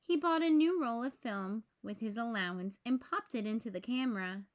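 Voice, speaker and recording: A woman reading a children's storybook aloud in measured, clearly phrased narration. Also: fairly high pitch.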